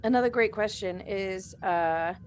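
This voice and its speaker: A woman's voice: medium pitch, unhurried, a little mellow. A woman in a casual panel chat, acting as host and putting questions to the group. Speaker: host reading fan questions. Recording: faint background noise.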